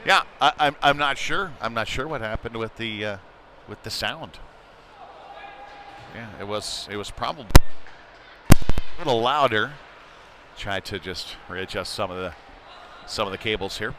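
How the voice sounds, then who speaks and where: A man's voice: fairly low pitch, a little slow, pauses often, heavy background noise. A man doing live play-by-play commentary of a youth hockey game, with conversational, somewhat hesitant phrasing between plays. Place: indoor ice rink.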